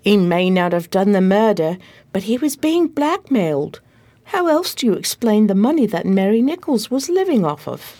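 A woman playing a loyal, long-suffering detective's assistant, narrating and acting in a slightly prim, expressive radio play style. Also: mid-range voice, moderate pace.

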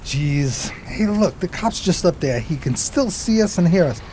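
A man plays a scrappy 1920s street pal in a radio play, forever egging on his partner. His delivery is slangy and nervy, jumping widely in pitch, over light rumbling noise. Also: expressive, unhurried, warm tone. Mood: urgent. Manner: slangy, urgent, hesitant starts. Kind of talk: radio drama acting, 1920s period piece.

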